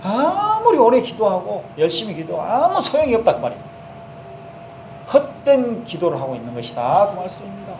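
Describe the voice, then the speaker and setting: Korean pastor preaching in an echoey hall. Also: male voice, sits high, huge pitch swings, a little fast, darkish tone, slight background noise. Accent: Jeolla dialect. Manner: conversational preaching, acting out prayers in first person. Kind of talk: sermon.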